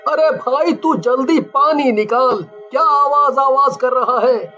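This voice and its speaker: A man's voice: very high voice, slow pace, pushed and bright, muffled recording, faint music behind, exaggerated voice. A man voicing a leering, cruel village thug with a drawling, taunting delivery in a cartoon horror story. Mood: impatient.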